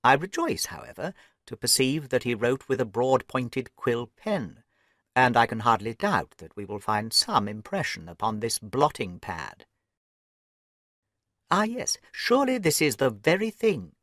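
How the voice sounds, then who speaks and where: Man doing a quick keen detective, crisp questions, dry close sound. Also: mid-range voice, very animated, fairly bright tone, dry recording. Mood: pleased. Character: keen, brisk male detective.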